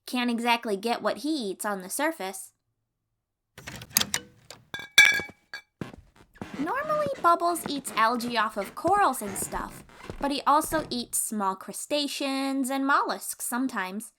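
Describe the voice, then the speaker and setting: A woman playing a cheerful, boastful young sea-dwelling warrior in an audio roleplay, eager and expressive as she talks to the listener. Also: on the high side, moderate pace, very noisy, natural voice. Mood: friendly, reassuring. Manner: eager, bragging, reassuring, expressive storyteller.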